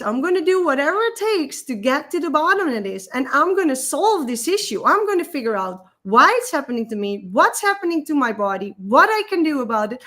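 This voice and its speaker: A woman in her thirties with a Dutch accent, a guest telling a personal story in a halting, emotional way, often repeating words. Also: sits high, sharp tone. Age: 30s.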